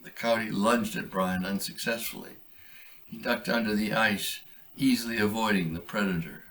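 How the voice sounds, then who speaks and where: A man reading aloud a short story he wrote, in a measured, deliberate way. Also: lowish voice, fairly bright tone, far from the mic, poor audio. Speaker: author reading his own story.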